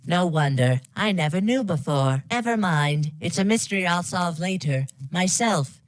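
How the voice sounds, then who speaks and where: A woman's voice: lowish voice, expressive, normal speed, no echo. A woman's voice reading scripted game lines in a stilted, literal way. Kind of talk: flat reading of garbled translated game lines.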